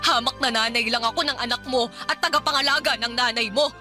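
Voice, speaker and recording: A woman's voice: on the high side, fairly even intonation, fast talker, music in the background, natural voice. An actress playing an embittered, worn-out mother confronting her partner with sarcastic, emotional accusations in a radio drama. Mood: sarcastic, exhausted. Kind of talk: Tagalog radio drama acting.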